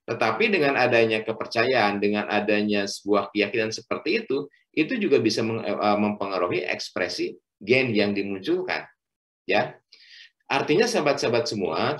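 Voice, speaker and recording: A middle-aged man, a doctor, giving a health lecture at a religious study session, speaking in measured phrases with frequent fillers and addressing the listeners as friends. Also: on the low side, animated, fairly bright tone.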